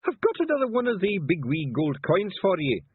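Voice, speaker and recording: Bossy high Scottish passenger. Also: male voice, wildly swooping pitch, brisk, dry recording, heavily acted. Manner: gruff, bossy, threatening. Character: pushy rich male passenger with broad Scots speech. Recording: dry tinny phone-like sound.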